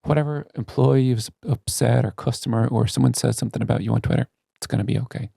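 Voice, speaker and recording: A man's voice: mid-range voice, fairly even intonation, fast, creaky, dark tone, slightly rough, dry recording, studio quality. A man speaking as a CEO guest in a candid podcast interview, earnest and emphatic about his opinions.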